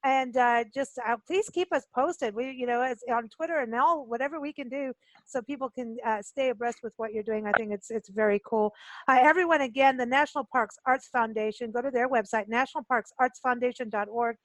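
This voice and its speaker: A woman's voice: on the high side, brisk, no room sound, poor audio. A woman from Kenya hosting a casual radio interview, talking in a chatty, informal, joking way. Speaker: radio show host.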